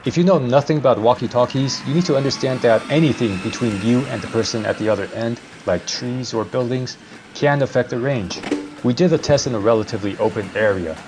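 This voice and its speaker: A man's voice: mid-range voice, fairly quick, background noise. A young man hosting a vlog, narrating a casual product review.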